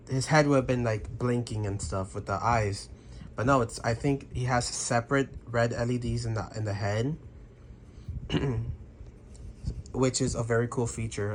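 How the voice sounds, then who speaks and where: Guy with a bit of a sick hoarse voice, rambly chatty review, faint hum behind him. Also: lowish voice. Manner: rambling, restarts and throat clearing. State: slightly hoarse, half sick. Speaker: prop reviewer. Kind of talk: casual spoken review.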